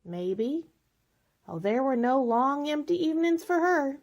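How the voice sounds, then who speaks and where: Woman playing lonely faded spinster, slightly high voice, very expressive pitch, wistful, bitter, self-pitying, radio play. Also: unhurried, heavily acted. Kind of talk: radio drama acting.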